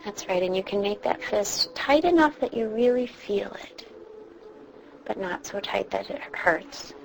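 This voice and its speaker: Female meditation guide, very unhurried and soothing, reassuring delivery with long pauses, noticeable ambient noise. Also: medium pitch, animated. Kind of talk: guided meditation, instructing a listener. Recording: clearly noisy background ambience.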